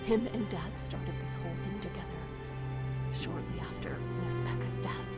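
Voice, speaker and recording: A woman's voice: on the low side, steady tone, moderate pace, loud music behind the voice, natural voice. A woman playing a guilt-ridden, defiant young rich heiress in an audio drama, intense and emotional. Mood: subdued, regretful.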